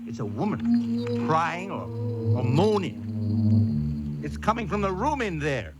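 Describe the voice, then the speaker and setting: A man's voice: high voice, very animated, slow, full character voice. An actor playing a hesitant but dutiful patrol policeman, polite and insistent, in a theatrical radio drama. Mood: puzzled, alert. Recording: live radio broadcast with loud music.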